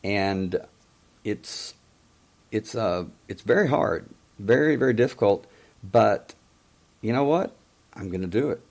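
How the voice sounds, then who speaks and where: A man in his fifties who stutters and stammers, hosting a call-in show in a casual monologue, often pausing to search for words. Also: lowish voice, expressive, darkish tone. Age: 50s. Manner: many pauses and hesitations.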